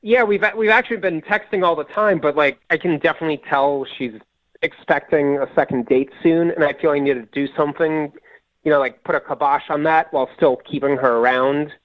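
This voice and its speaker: A man calling in to a radio show over the phone, talking casually in a hesitant, halting way. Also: a little high, dry recording. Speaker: phone-in caller. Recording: muffled radio phone line, dry.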